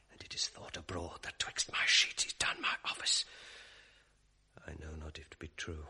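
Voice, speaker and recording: An actor playing a scheming, sardonic male ensign in a 1980s radio drama, mocking and sly, sinking to a hushed mutter when plotting. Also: low voice, breathy edge, heavily acted.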